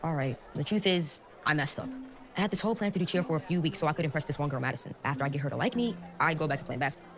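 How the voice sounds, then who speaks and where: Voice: medium pitch, expressive, rapid-fire, close to an everyday voice. A young-sounding performer playing an earnest, conflicted teenage boy in a web drama, hesitant and pleading. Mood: confessional. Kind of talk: scripted moralizing web drama acting.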